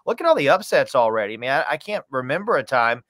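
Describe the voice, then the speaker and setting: A man's voice: mid-range voice, very animated, fast, a bit bright, no room sound, clean studio sound. A man in his thirties, a sports announcer, chatting casually as an interview guest with conversational emphasis. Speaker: radio play-by-play announcer as guest. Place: small room, indoors, video call.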